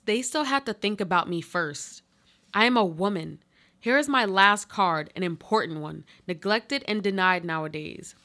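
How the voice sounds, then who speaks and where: A woman's voice: medium pitch, normal speed, pushed and bright. A woman playing a desperate trapped woman, pleading and reproachful in a dramatic radio play monologue.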